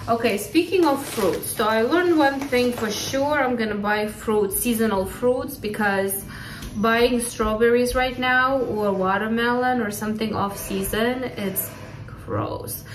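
A woman in her thirties chatting casually through a grocery haul vlog, relaxed with laughing asides, filmed on a phone in her home kitchen. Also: fairly high pitch, a little slow, distant mic, slight background noise. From California. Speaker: family vlogger. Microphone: phone camera at arm's length. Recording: echoey kitchen, voice a bit off-mic.

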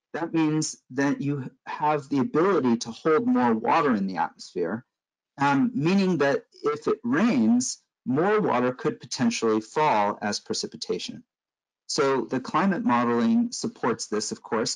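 A middle-aged American man from Los Angeles lecturing at a conference over slides. His voice sits at an ordinary middle pitch, and he explains step by step in a measured way, with plenty of ums and uhs. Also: rough recording. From Los Angeles, California. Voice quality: measured, explanatory, frequent um and uh fillers.

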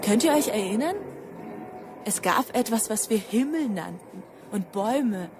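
Radio play storyteller, reflective and measured, normal pitch. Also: female voice, expressive, music in the background. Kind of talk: radio drama narration.

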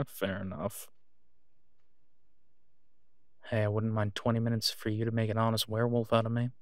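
A man playing a tired, devoted and protective werewolf in an intimate audio drama phone call, speaking in a halting, reassuring way. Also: lowish voice, dry recording, clean studio sound, close to an everyday voice. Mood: tender, wistful.

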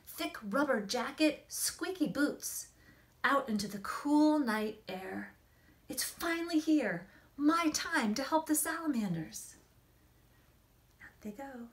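A woman in her forties, an author reading and explaining things to children in an expressive, emphatic storytelling manner. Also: high voice, slow pace. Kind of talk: expressive picture-book read-aloud and explanation to children. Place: small indoor room against a plain wall.

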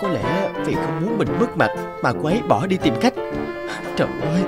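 A man's voice: fairly high pitch, very animated, moderate pace. A man voicing an anxious, devoted young scholar husband in a children's cartoon, earnest and fretful. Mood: worried. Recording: music under the voice.

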